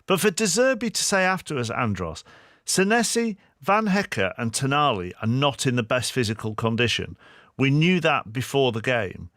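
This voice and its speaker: A man hosting a lively football discussion, probing his guests with questions and pointed remarks. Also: medium pitch, normal speed, dry recording, clean studio sound. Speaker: radio show host.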